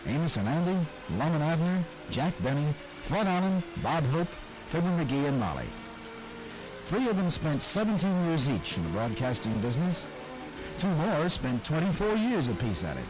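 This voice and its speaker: A man's voice: on the high side, very animated, moderate pace, over music, rough recording. A man reading a scripted narration for a documentary-style program. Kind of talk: scripted narration about radio history.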